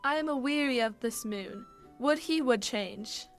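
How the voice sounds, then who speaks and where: A woman's voice: a little high, unhurried, faint music behind. A young woman playing a bored, scornful noblewoman in a radio play of Shakespeare, dry and dismissive.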